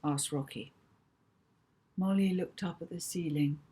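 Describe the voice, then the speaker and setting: Low, husky woman narrating a story. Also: a little slow, dark tone. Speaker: author reading her own novel. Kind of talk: children's audiobook narration.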